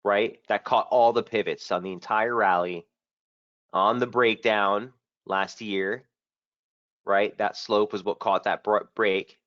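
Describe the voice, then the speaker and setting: Host, male, lowish voice, a touch hoarse, brisk casual chart walkthrough, dull dry stream audio. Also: fairly even intonation, a bit bright, dry recording. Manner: brisk, casual trader talk with frequent fillers. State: slightly hoarse, under the weather. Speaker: market strategist hosting a trading webinar. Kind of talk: live market analysis webinar.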